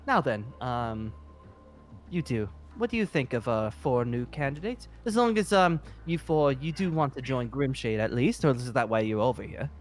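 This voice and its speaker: Man voicing a lively, flustered, polite guild leader. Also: medium pitch, moderate pace, lightly acted. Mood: curious. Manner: hesitant, apologetic, placating. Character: polite, flustered centuries-old dragon slayer guild leader. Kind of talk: improvised fantasy roleplay acting.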